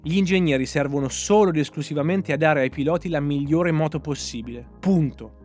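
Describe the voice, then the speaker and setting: Male motorsport commentator, higher-set voice, scripted opinion read, emphatic and sarcastic, music bed underneath. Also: a little fast, a little mellow. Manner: emphatic, sarcastic, rhetorical questions. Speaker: motorsport commentator, channel host.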